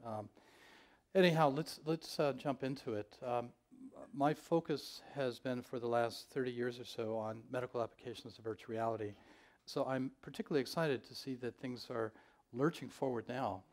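An older man giving a conference keynote on a large stage, speaking in a measured, thoughtful way with frequent ums and uhs. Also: mid-range voice.

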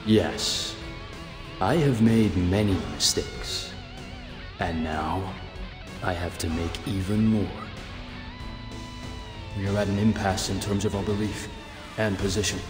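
An actor playing a solemn young underworld clan leader in a video game, formal, measured and stoic. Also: male voice, lowish voice, wildly swooping pitch, exaggerated voice. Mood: grave, resolute. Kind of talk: dramatic game cutscene acting. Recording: reverberant game audio with music behind. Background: music.

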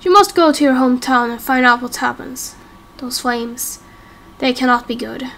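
A woman voicing a grave, fatalistic leader of an underground people in a game roleplay, solemn and measured. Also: high voice, steady tone, gravelly, a bit bright, a little hiss or hum.

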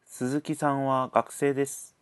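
A male narrator reads scripted lesson translations in a medium-pitched voice. His delivery is extremely slow, one short sentence at a time. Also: level tone, clean tone.

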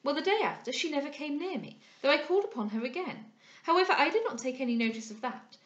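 A woman's voice: high voice, animated, fast, fairly bright tone, roomy sound, muffled recording, voice pushed up. A woman voicing a voluble, gossipy young society lady with gushing, indignant chatter in a period audiobook. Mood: indignant, huffy. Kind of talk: dramatised audiobook reading of a period novel.

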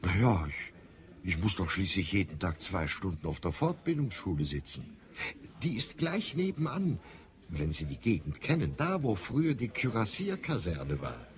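Casting: eager, self-important innkeeper in audio drama, low voice, extremely lively intonation. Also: male voice, normal speed. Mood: chatty, proud. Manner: talkative, boastful, eager to please. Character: chatty, self-important innkeeper, proud of his night-school learning. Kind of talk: German audio drama acting.

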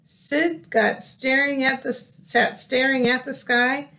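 A woman reads a picture book aloud for children. Her voice is high, and she takes her time, telling the story carefully and expressively. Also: flat delivery, slow pace, pushed and bright. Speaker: storybook reader. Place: small room indoors by windows.

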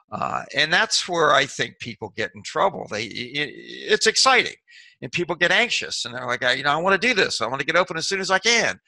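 Man, middle-aged, gravelly, very bright and forceful tone, dry close sound, rambling interview answers. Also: on the high side, dry recording, rough recording. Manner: rambling, many restarts and fillers, practical. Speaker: restaurant consultant and author. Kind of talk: interview answer, casual explaining.

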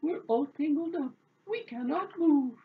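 A woman's voice: high voice, lively intonation, a little slow, phone-quality sound, pitched above the performer's own voice. A woman voicing helpless talking household objects, answering plaintively that they are stuck.

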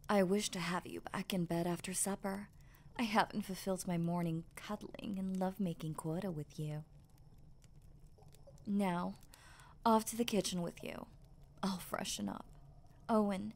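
A woman voicing an affectionate, teasing, possessive werewolf lover in intimate ASMR roleplay, soft and breathy, often slipping into a whisper. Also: fairly low pitch, fairly even intonation. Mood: amused, fond. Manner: slow, sultry, playful murmuring.

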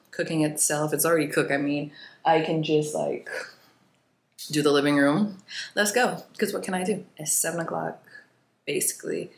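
A woman's voice: low-pitched, husky. A young woman vlogger chatting casually about cleaning her living room, sounding tired and rambling with loose filler words. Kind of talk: casual chat. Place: bright home living room.

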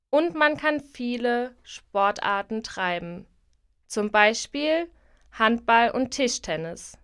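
Female reader, bright high voice, smooth, slow scripted delivery. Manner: slow and clearly articulated. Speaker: exam recording speaker. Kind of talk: slow scripted advert reading.

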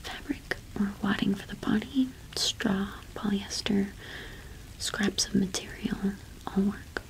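Young female ASMR host: whispered, very even delivery, soft and gentle roleplay explaining. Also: mid-range voice, slow pace, gravelly, rough recording. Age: young adult.

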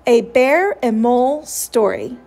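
A woman reading a children's picture book aloud in an expressive, dramatic way, drawing out the sound words. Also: fairly high pitch. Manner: slow, dramatic, drawn-out delivery of sound words.

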